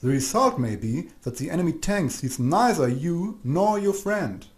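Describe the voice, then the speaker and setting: A man with a slightly high-pitched voice narrates an instructional video. He reads from a script in a measured, didactic way, speaking straight to the listener. The voice-over sounds roomy, with noticeable echo. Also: animated, darkish tone.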